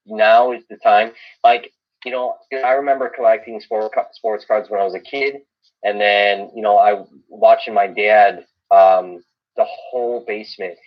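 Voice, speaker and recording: A middle-aged American man from Illinois chatting casually and emphatically, repeating phrases for weight. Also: on the low side. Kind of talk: casual chat. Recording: dull, band-limited stream audio.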